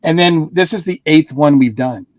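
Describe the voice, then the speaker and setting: A man's voice: fairly high pitch, normal speed, dry recording. A man co-hosting a casual podcast review chat, rambling with frequent restarts and chuckles. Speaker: podcast co-host.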